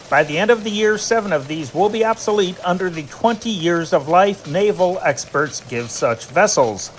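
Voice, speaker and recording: A news reader delivering scripted dispatches in a formal, measured newscaster manner. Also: mid-range voice, a little hiss or hum, poor audio. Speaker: news reader and channel host. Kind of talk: scripted news reading of old wire dispatches.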